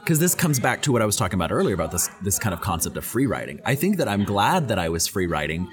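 Very deep voiced young guy on a podcast, thinking out loud, repeats himself, laughs easy. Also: sing-song, fast talker, crowd noise. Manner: thoughtful, repeats words while thinking, laughs easily. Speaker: podcast guest, professor. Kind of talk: casual interview answers.

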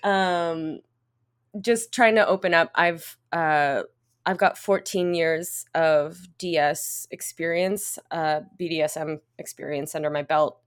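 This woman in her thirties speaks with an English Canadian accent in a slightly low register. She hosts a loose podcast conversation, laughing easily and stumbling through little fillers and restarts. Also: steady tone, slow, smooth. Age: 30s. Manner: giggly, chatty, with frequent fillers and restarts. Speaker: podcast host. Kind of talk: casual chat.

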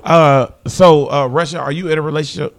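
A man, hosting a podcast and teasing his guests with loud laughter. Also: fairly high pitch, fairly even intonation, fairly quick. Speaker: podcast host. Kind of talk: casual podcast banter.

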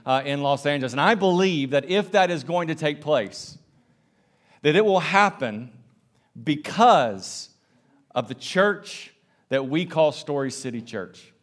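A man from South Carolina with a Southern American accent, preaching a sermon to a church in a lively, conversational way. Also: a little high. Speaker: pastor.

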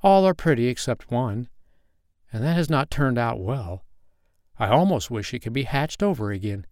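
A man's voice: medium pitch, lively intonation, normal speed, darkish tone, no echo, clean studio sound, close to an everyday voice. A man voicing a dignified, haughty old noble female duck in a storybook reading, grand and condescending.

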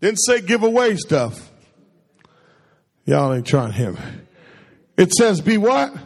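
Husky-voiced preacher, slow and animated. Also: male voice. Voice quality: calls his own voice a baritone. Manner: preachy, asks the congregation questions and answers them. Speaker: pastor. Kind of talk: call-and-response sermon. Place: church sanctuary. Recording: long hall reverb.

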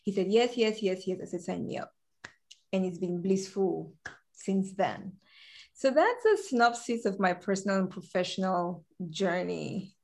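A woman from Cameroon in her forties tells her personal and professional story as an interview guest. She is animated and laughs easily, and her voice sits at a middle pitch. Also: measured pace, creaky. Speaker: guest, compliance director. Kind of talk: conversational interview.

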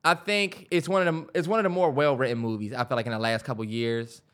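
A man's voice: medium pitch, steady tone, fast, a bit bright. An American man co-hosting a podcast, chatting casually with slangy, profane, emphatic phrasing. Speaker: podcast co-host. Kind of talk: casual chat.

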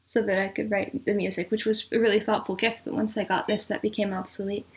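A woman answering as a composer guest in a casual, chatty interview, quick to laugh. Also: mid-range voice, level tone.

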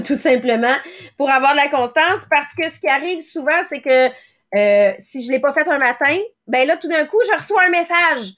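A woman in her late thirties with a Quebec French accent, hosting a motivational morning podcast in a quick, conversational way. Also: sits high, lively intonation, a bit bright. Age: late 30s. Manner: quick, conversational, acts out little quoted thoughts. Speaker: podcast host.

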